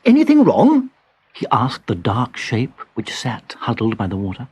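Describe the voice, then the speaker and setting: A man narrating a children's story in an expressive, dramatic storytelling style. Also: lowish voice, normal speed, slightly rough.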